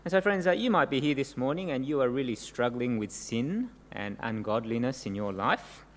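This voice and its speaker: A man's voice: medium pitch, lively intonation, moderate pace. A middle-aged man, born in Korea, preaching an earnest sermon to a congregation and often ending points with tag questions. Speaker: pastor. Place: church service before a congregation. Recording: long church-hall reverb, faint noise.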